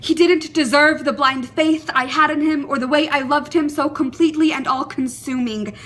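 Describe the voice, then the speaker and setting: A young adult woman performing a dramatic monologue in an earnest, deliberate, emotive way, with pauses. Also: high-pitched, fairly even intonation, a bit bright.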